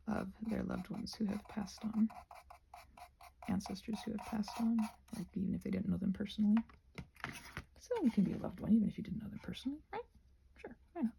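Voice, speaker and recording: Very creaky, soft-edged woman rambling casually. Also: on the high side, slight background noise, rough recording. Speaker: host of a craft ramble video. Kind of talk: casual rambling chat, ASMR channel.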